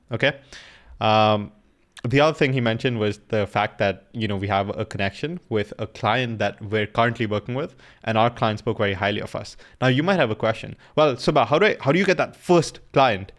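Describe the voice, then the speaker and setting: A young man in casual commentary, coaching viewers through a recorded sales call in a loose, slangy way. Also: medium pitch.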